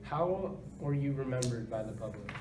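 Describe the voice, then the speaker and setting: A man's voice: mid-range voice, expressive, normal speed, echoey room, distant mic. A man as a news reporter, putting scripted questions to a guest in a mock interview. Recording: noisy room recording.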